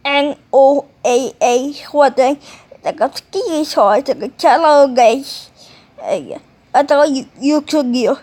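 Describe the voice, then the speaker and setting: A woman talking casually in a halting, rambling way, with mumbled phrases, as in an improvised home video. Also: high voice, darkish tone.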